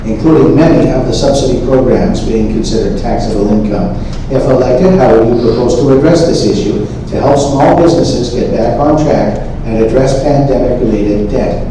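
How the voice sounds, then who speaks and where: A man's voice: medium pitch, normal speed. A man moderating a forum, formally reading out prepared questions. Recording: echoey hall from far off, noisy.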